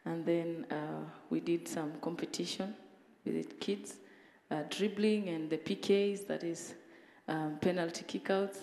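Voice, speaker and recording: A woman, a soccer coach, recounting the day calmly and earnestly. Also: fairly low pitch, measured pace, gravelly, few pauses. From Kenya. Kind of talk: casual spoken account. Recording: echoey hall with long reverb.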